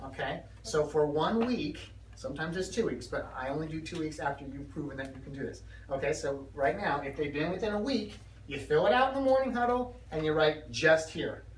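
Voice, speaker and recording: A woman's voice: deep voice, animated, fairly quick, few pauses. A woman working as a consultant, training staff hands-on through role-play in a directive way, drilling phrases and often checking they understand. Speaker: dental practice consultant and trainer. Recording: roomy, distant mic with background noise.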